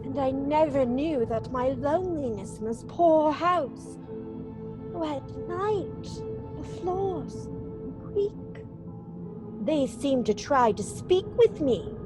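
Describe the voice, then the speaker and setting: High-voiced, slow-speaking demure young lady. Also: expressive, music in the background. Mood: soft, wistful. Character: sweet, demure young widow and bride hiding coyness. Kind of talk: old-time radio melodrama acting.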